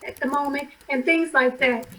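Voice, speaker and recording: A hoarse middle-aged woman on an online study call, asking a question hesitantly and restarting her sentences as she works out what she wants to ask. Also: high voice, steady tone, moderate pace, a bit bright.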